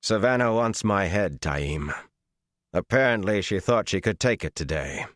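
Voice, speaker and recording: A male narrator plays a hard-edged young leader in a fantasy audiobook. The voice is low and imperious, giving orders sternly, with a dry sound. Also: lively intonation, measured pace, fairly bright tone, no echo. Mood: cold, commanding. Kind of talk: audiobook character acting.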